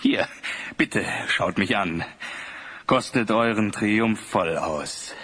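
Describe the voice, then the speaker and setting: A man's voice: sits low, a bit bright, exaggerated voice. An actor playing a remorseful ruler turned old man, confessing solemnly in formal words in a fantasy audio play. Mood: bitter, defeated.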